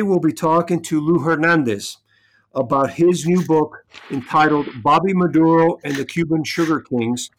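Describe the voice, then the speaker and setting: A man hosting a podcast and interviewing an author. He talks in a relaxed, conversational way and strings his questions together into long, multi-part asks. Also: a little high, low-quality recording. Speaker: podcast host.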